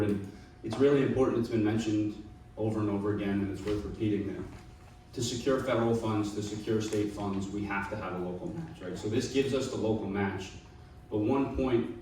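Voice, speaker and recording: A man's voice: fairly low pitch, darkish tone, a little hiss or hum. A man from Long Island making an earnest, persuasive speech at a public panel, often checking in with "right?". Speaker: panel speaker. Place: meeting room. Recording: echoey hall, mic far off.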